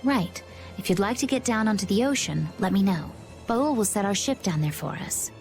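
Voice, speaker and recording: A woman playing a composed, enigmatic young warrior in an anime-style video game, with measured delivery. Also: mid-range voice, animated, soft background music, lightly acted. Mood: calm, matter-of-fact.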